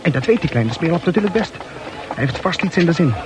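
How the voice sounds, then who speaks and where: A man's voice: on the high side, big pitch swings, fast talker, background noise. An actor playing a nervous bachelor muttering his anxious thoughts as he walks through town in an old radio play. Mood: fretting. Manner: anxious inner monologue. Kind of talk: 1960s radio play acting.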